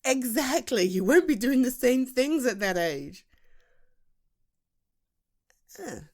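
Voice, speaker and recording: A British woman playing a playful, affectionate best friend in an intimate audio roleplay, speaking in a halting, giggly, conversational way. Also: on the high side, sing-song, exaggerated voice. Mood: thoughtful, musing. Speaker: ASMR roleplay voice performer. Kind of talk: intimate, naturalistic audio roleplay addressed to the listener.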